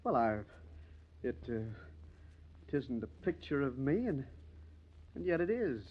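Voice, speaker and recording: An actor in an old-time radio crime drama playing an indignant, flustered gentleman who blusters and stammers. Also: medium pitch, big pitch swings, a little slow, husky. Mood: flustered, alarmed. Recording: muffled vintage radio recording.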